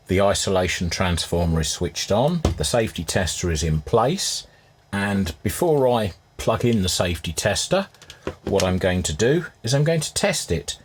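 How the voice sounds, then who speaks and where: A man's voice: low voice, slight background noise. A man giving a hands-on repair walkthrough in a methodical, matter-of-fact, step-by-step way. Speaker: hobbyist restorer presenting. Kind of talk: hands-on repair narration, semi-scripted demonstration.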